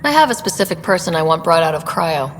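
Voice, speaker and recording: A woman's voice: low voice, big pitch swings, quick pace, crowd noise. An actress playing a determined young female pathfinder who speaks directly and tersely. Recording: game audio with long reverb and faint noise.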